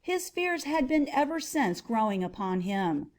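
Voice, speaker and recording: A woman's voice: a little high, sing-song, a little mellow. A woman voicing a cunning mad murderer who insists on being sane, in dramatic horror audiobook narration with emphatic delivery. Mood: gloating, sinister. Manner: theatrical, emphatic, drawn-out repetitions.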